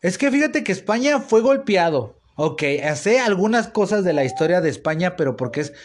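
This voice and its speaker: A man's voice: high voice, sing-song, normal speed. A man in his thirties with a Mexican accent, hosting a casual podcast chat and rambling in slang with lots of restarts. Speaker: podcast host. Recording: noisy home podcast recording.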